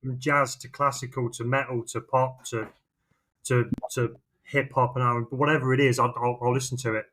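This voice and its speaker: A man's voice: mid-range voice, little pitch movement, normal speed, fairly bright tone, a little hiss or hum, low-quality recording. A British man co-hosting a podcast, chatting informally and bluntly, repeating words as he goes. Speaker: podcast co-host. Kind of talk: casual chat.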